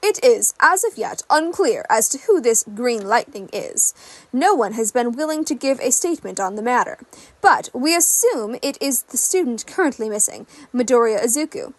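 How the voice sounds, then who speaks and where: Woman as a formal high-voiced news anchor. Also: no echo. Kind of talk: newsreading within a podfic.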